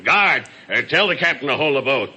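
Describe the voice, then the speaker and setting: A bossy bureau chief in a radio comedy. His voice is mid-pitched and extremely bright and forceful. He speaks brusquely, like someone used to being obeyed. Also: animated, fairly quick, slightly rough, muffled recording, heavily acted. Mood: brisk, callous. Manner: brusque, commanding. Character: brusque male immigration bureau chief, gruffly in command. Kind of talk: old-time radio comedy acting.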